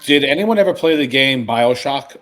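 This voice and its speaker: A man's voice: medium pitch, lively intonation, normal speed, fairly bright tone. A man chatting casually as a panelist on a podcast. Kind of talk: casual chat.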